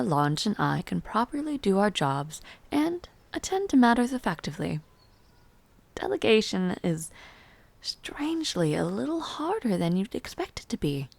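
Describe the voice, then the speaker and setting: Woman acting a slow, low-voiced, weary noblewoman. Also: creaky, no echo. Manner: measured, formal, hesitant, softly spoken with pauses. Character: refined, gentle, tired noblewoman ruler mentoring a newcomer. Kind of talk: fantasy audio roleplay, intimate one-sided dialogue.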